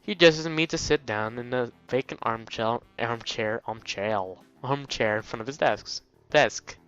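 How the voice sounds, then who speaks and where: A man's voice: medium pitch, measured pace, fairly bright tone, clear, resonant tone, muffled recording. A man hosting a let's play, reading visual novel text aloud with casual, jokey asides and getting easily sidetracked. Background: faint game music.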